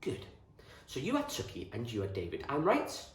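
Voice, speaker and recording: A man voicing a small, gentle, motherly farmer's wife with a foreign accent, kindly and fussing, in a storybook. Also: mid-range voice, huge pitch swings, normal speed. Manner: kindly, fussing, ends phrases with questioning tags. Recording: roomy echo, distant from the mic.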